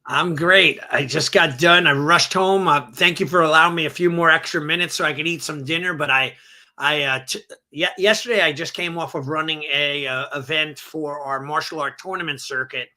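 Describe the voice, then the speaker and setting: Hoarse, energetic man with a bright voice. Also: a little high, normal speed. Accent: New York. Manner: energetic, rambling, self-correcting. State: slightly hoarse. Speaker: martial arts school owner, guest. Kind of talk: casual podcast interview.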